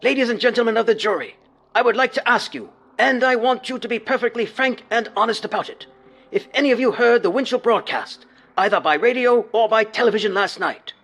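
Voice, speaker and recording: An old courtroom judge speaks in a strikingly high-pitched voice. He is formal, measured and unflappable, as in a radio play about a trial. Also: fairly bright tone, full character voice. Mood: formal, patient. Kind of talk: courtroom dramatization.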